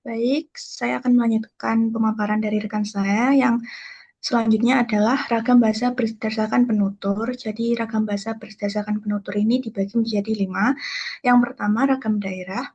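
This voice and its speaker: A young woman with a lilt walks classmates through her part of a lesson, in a relaxed explanatory way with little verbal tags. Her voice is husky and breathy, and the audio comes through muffled and lo-fi. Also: a little high, normal speed. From Kediri, East Java. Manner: casual explanatory, fillers like gitu. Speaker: student presenter. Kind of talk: online class presentation.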